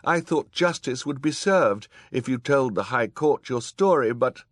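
A man voicing a high-pitched, indignant lady judge. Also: lively intonation, measured pace, dry recording, studio quality. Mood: distraught. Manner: indignant, emphatic, pleading. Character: earnest, distressed noble woman judge.

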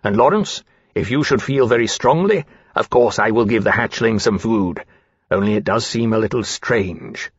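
A man's voice: on the low side, moderate pace, breathy edge, no room sound, highs cut off. A man voicing a sensitive, loyal dragon with a hesitant, plaintive, confiding manner in a fantasy audiobook. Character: earnest, sensitive male dragon, loyal, hesitant and easily hurt.

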